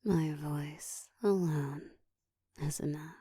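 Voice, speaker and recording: A woman voicing a seductive, dominant ancient vampire in an intimate ASMR roleplay, teasing and commanding, often slipping into a soft whisper. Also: steady tone, slow pace, dry recording, clean studio sound, heavily acted. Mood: seductive, taunting. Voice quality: soft, breathy whispering mixed with low murmur.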